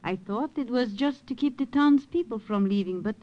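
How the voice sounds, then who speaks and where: An actress playing an older widow in a 1940s radio drama, gentle and motherly, speaking English. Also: on the high side, moderate pace, muffled recording, close to an everyday voice. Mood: warm, earnest. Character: older French widow, kindly and protective.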